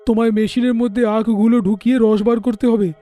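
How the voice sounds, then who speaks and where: A man's voice playing a brisk, calculating juice stall owner in a moral story, speaking in a matter-of-fact way. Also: very high-pitched, little pitch movement, mellow, soft background music.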